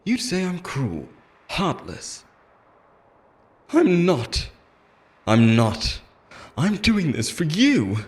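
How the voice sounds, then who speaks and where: A man's voice: fairly high pitch, huge pitch swings, extremely slow, long pauses, heavily acted. A man playing an obsessive immortal lover in an audio roleplay, theatrical and intense, shifting between pleading tenderness and menace. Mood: pleading, possessive, then desperate, obsessive. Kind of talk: dramatic ASMR-style audio roleplay monologue.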